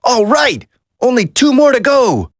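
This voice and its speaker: An actor playing an earnest, determined young special-forces soldier in a dramatic video game. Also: male voice, very high-pitched, sing-song, a little slow, no echo, studio quality, heavily acted. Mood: focused.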